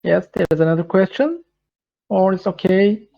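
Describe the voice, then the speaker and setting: A man with a Turkish accent, a native Turkish speaker, answering questions in a webinar in halting English full of restarts and fillers. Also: sits high, expressive, creaky. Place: London. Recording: dull lo-fi call audio.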